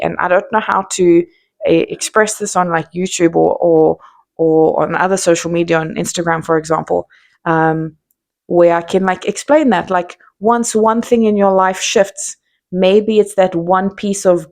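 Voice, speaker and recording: A woman in her thirties with a South African accent, musing candidly to camera in an unscripted vlog, with lots of ums and restarts. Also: lowish voice. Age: 30s. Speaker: vlogger. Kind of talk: unscripted reflective monologue to camera.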